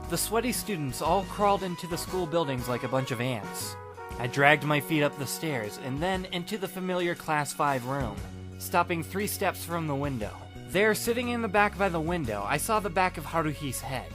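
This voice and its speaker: A man reading first-person story narration in an expressive, wry, self-deprecating and dramatic way. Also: fairly high pitch, normal speed. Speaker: audiobook narrator playing the first-person lead. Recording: music bed behind the voice. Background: background music.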